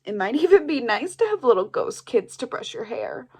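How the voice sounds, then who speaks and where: A woman's voice: on the high side, big pitch swings, moderate pace, slightly rough. A woman playing a wry, easygoing member of a household in an audio play. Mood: playful.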